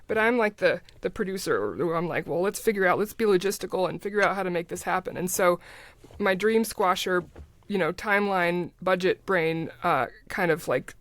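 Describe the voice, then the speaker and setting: A woman's voice: lowish voice, moderate pace, no echo. Woman chatting casually, close dry sound. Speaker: podcast guest, audio drama writer and producer. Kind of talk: casual interview chat.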